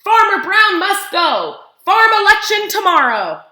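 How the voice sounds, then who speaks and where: Casting: woman plays a defiant storybook farm duck on the campaign trail, high, loud and bright, in an echoey room. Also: sing-song, unhurried, full character voice. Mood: proclaiming. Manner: loud, declarative protest. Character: indignant, ambitious farm duck campaigning for election. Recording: roomy, echoing room sound.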